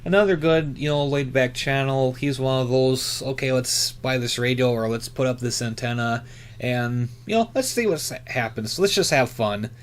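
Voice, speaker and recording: A man chatting casually and unscripted as a hobby YouTuber, laid-back and rambling, with halting, filler-heavy phrasing. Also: medium pitch. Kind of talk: casual unscripted chat, reviewing channels.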